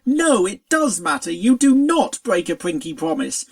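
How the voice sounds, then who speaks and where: A narrator voicing a stubborn, excitable female pony in a storybook, harsh and forcefully insistent. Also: medium pitch, unhurried. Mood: defiant, insistent. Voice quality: harsh, raspy.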